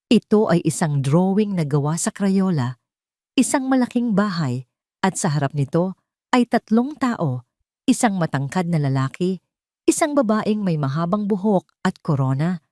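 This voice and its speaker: Woman, Filipino accent, lowish voice, dry close sound, scripted dramatic story narration. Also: warm tone, no room sound.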